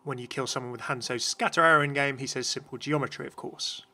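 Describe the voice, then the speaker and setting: A man's voice: mid-range voice, steady tone, fast talker, sharp tone. A man giving upbeat, informative explanatory commentary as narrator of a video game channel, recorded close on mic.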